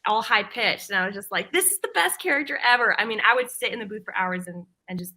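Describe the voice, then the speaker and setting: A woman's voice: mid-range voice, animated, fast talker, pushed and bright. A woman performer casually introducing herself as a guest on a panel, talking with enthusiasm. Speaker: voice actor and songwriter, panel guest.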